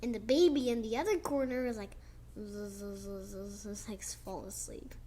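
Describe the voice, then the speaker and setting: A young girl reading a children's picture book aloud, slowly and expressively, with chatty asides about the pictures. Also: mid-range voice, steady tone, warm tone, slight background noise. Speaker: child storyteller.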